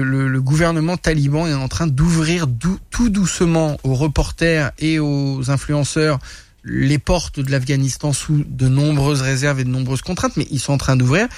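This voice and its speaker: A man from France, a guest telling war stories in a casual studio interview, animated and full of restarts. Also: on the high side, no echo. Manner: animated, many restarts and laughs. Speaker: guest, reporter and influencer. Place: radio studio.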